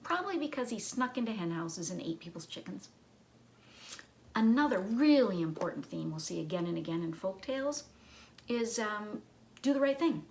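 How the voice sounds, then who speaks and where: A woman's voice: medium pitch, a little hiss or hum. A woman giving an educational talk to children in a teacherly way, with simple explanations and rhetorical questions. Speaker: children's librarian and storyteller.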